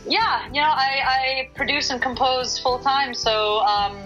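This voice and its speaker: Woman from singapore, singer guest, crisp bright voice, lots of ums and restarts, faint music behind. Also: sits high, unhurried, lo-fi sound. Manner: thoughtful, hesitant, many fillers and restarts. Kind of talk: casual interview answers.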